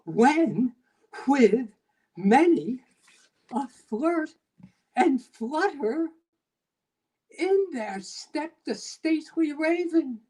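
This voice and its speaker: A woman giving a theatrical dramatic recitation of poetry, with halting, drawn-out phrasing and heavy pauses. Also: high voice, mellow. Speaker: performer at a live dramatic reading.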